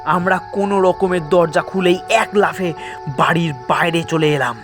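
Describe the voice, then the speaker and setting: A man's voice: high-pitched, normal speed, over music. A man narrating a scripted first-person horror story in a dramatic, suspenseful way.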